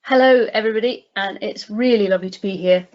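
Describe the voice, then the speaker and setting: A woman from the West Highlands of Scotland giving a prepared online presentation over a video call. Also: quick pace. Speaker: presenter, playwright. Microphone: video call microphone. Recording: dull video call with background noise.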